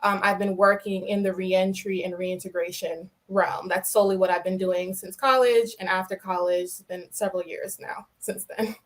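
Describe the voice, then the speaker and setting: Young woman, clear explainer. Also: medium pitch, level tone, moderate pace. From New York City. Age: young adult. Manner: explanatory, with light laughs. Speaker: guest. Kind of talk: podcast interview.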